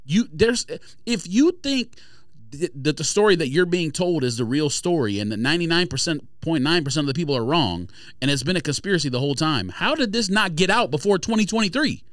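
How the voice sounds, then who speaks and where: A man's voice: a little high, sing-song, brisk, fairly bright tone, no room sound, studio quality. A man debating casually as a podcast host, argumentative and blunt, with emphatic delivery.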